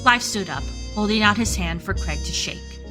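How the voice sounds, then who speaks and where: A woman's voice: mid-range voice, expressive, normal speed. A woman reading scripted story narration for a radio play. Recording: music playing behind the voice.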